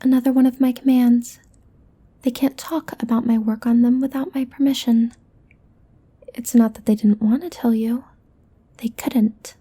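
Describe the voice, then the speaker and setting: Girl doing a smug possessive succubus for asmr roleplay, really dark mellow voice, breathy and whispery, kinda high. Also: flat delivery, moderate pace, long pauses.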